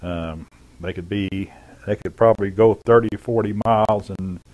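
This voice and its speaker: Guy with a low voice telling what he saw on the job, matter of fact, kinda halting, no script. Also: fairly even intonation, highs cut off. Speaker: former air traffic controller giving an eyewitness account. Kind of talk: unscripted first-person recollection.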